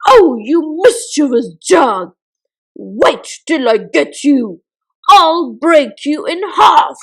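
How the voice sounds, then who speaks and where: A woman voicing a mean, thieving little man who shouts and wails in panic while reading a storybook aloud. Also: high-pitched, big pitch swings, extremely slow, exaggerated voice, low-quality recording. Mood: angry alarm. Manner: loud, frantic, wailing.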